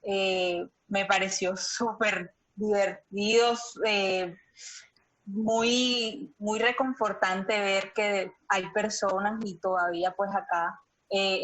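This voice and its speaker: A woman's voice: medium pitch, a bit bright, low-quality recording. A woman with a Colombian accent giving casual, hesitant feedback as an audience member on a video call.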